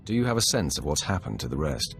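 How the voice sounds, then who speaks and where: Very deep, commanding Sith lord. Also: male voice, sing-song, vocal fry, faint music behind, exaggerated voice. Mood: stern. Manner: authoritative, measured, formal. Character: commanding male Sith lord, stern, measured master. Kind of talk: dramatic video game voice acting.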